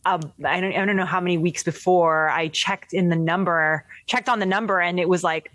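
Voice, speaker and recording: Animated young woman, low voice, dry. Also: a little fast, no echo. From Philippines. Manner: animated, laughing, many fillers and restarts. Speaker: filmmaker guest. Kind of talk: casual interview chat.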